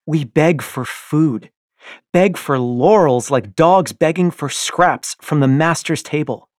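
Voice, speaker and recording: A man voicing a passionate young rebel wife, speaking urgently and pleadingly with a breathy tone. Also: on the high side, slow pace, no echo, studio quality, pitched above the performer's own voice. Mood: impassioned.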